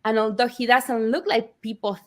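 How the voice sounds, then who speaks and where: A woman from Argentina, telling the story of her book as an interview guest with animated, earnest warmth. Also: on the high side, normal speed. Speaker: author guest. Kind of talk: conversational interview.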